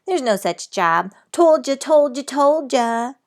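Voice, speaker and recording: A woman's voice: medium pitch, a little slow, creaky. Mean little boy, taunting, sing-song teasing, read by a woman. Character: mean teasing schoolboy on a bus.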